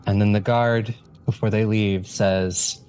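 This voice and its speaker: A man's voice: on the low side, steady tone, slow, darkish tone, faint music behind. A man giving improvised narration in a tabletop game.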